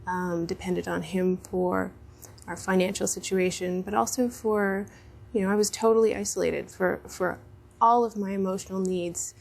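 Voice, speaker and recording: A woman giving a candid, reflective personal account, pausing hesitantly. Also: medium pitch, fairly even intonation, gravelly, a little mellow. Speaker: wife in couples counselling.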